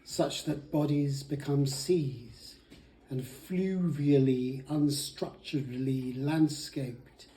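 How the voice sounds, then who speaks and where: A woman's voice: a bass voice, takes it slowly, warm tone. A woman reading a poem aloud to others. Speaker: family member reading a poem. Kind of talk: poetry reading. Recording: roomy echo, faint noise.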